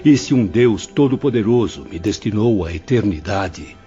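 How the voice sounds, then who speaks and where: A low-pitched, solemn male professor played by an actor declaims with earnest fervour, like a repentant spirit in a radio play. The recording is slightly dull, with music playing underneath. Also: animated, normal speed, warm tone, lightly acted. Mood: resolute. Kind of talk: scripted audio drama acting.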